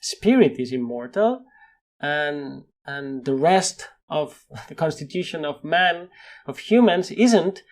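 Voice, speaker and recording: Spanish-accented male guest, slightly high pitch, lively emphatic speaker. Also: takes it slowly. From Spain. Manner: animated, laughs often, repeats words when stressing a point. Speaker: guest scientist. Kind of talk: conversational interview.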